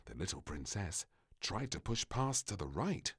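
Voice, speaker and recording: A man reading a children's story aloud as an expressive storybook narrator. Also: sits low, normal speed, breathy edge.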